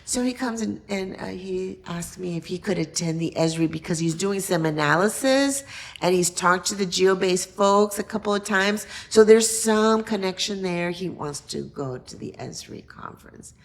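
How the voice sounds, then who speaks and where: A woman's voice: on the low side, moderate pace, gravelly. A woman guest on a podcast casually telling an anecdote, voicing quoted exchanges in a conversational way.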